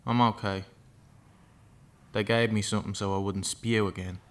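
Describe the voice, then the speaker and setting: An actor playing a sullen, taunting prisoner in a radio drama, curt and sardonic. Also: male voice, fairly low pitch, fairly even intonation, moderate pace, natural voice. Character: sullen, taunting male prisoner, a convicted killer. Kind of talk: naturalistic radio drama acting.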